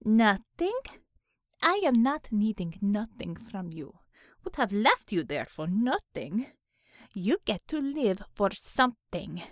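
A woman's voice: mid-range voice, big pitch swings, slow, dry recording, studio quality. A woman doing a menacing Russian female commissar. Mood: scornful, demanding. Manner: broken English, taunting, threatening.

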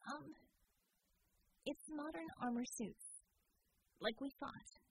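A woman voicing a wary, exasperated young heroine in an audiobook, halting, wry and emphatic. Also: high voice, no echo, voice pushed up, poor audio. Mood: uneasy disbelief. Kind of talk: audiobook character voice.

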